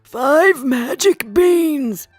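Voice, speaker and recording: A woman voicing an old man in a children's fairy tale, a stranger making slow, deliberate bargains. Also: high-pitched, lively intonation, no room sound, faint music behind, full character voice. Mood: sly bargaining. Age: older.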